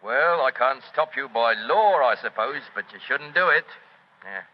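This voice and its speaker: An actor playing a gruff, fatherly, working-class police constable with an English accent in a 1940s radio drama. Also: medium pitch, animated, normal speed, close to an everyday voice. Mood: fatherly, disapproving. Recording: dull 1940s radio, music behind.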